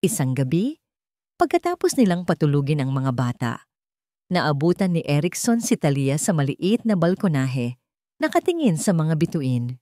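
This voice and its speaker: Filipino woman narrating, low-pitched and dry. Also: big pitch swings, normal speed, dark tone, dry recording. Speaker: storyteller. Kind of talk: scripted dramatic story narration.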